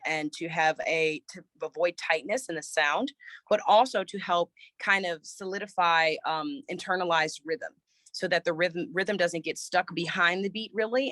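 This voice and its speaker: A woman's voice: lowish voice, fairly bright tone, no echo. Slightly scratchy woman choir director. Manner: thoughtful, with many restarts and fillers. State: slightly scratchy from a recent cold. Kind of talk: relaxed interview answers.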